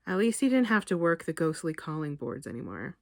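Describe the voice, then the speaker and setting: A woman author reading her short story aloud in a measured, expressive literary narration. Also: on the low side, gravelly, dry recording, studio quality.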